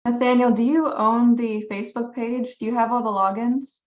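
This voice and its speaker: Woman, slightly high voice, casual meeting talk, dull band-limited call audio. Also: steady tone, normal speed. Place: online conference call.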